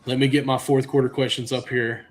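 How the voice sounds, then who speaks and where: A man's voice: medium pitch, little pitch movement, a little fast, a crowd in the background. A man hosting a casual sports podcast, asking long, rambling questions full of fillers. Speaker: podcast host.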